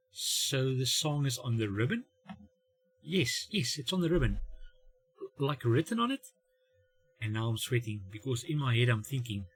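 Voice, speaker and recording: A middle-aged man delivers a comedic monologue in a medium-pitched, slightly husky voice. He is wry and self-mocking, playing out little exchanges and pausing for dramatic effect. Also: low-quality recording. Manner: wry, self-mocking dad humour with dramatic pauses and acted-out dialogue. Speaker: host of a family humour channel.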